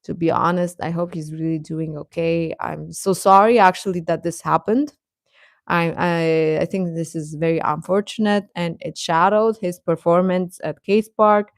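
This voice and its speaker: A woman with a slightly low voice hosts a casual livestream, talking straight to her viewers. Her delivery is halting and conversational, with hesitations as she thinks aloud. The sound is close and dry, with little room in it. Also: steady tone, vocal fry, dry recording. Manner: hesitant, halting, chuckles often while talking. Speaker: livestream host reading viewer comments. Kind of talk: casual livestream chat commentary.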